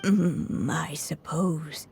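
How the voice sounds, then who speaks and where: A frail, slow old writer. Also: female voice, low-pitched, creaky, a little hiss or hum, full character voice. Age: older. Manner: slow, calm, resolved. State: coughing, weakened. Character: frail elderly woman author, near death. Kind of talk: game dialogue.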